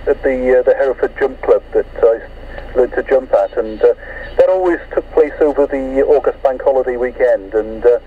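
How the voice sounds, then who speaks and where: A man from Britain chatting over CB radio, polite and measured, saying "understood" and "I must admit". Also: medium pitch, a little hiss or hum. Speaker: CB radio net participant. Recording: distant phone-like radio audio.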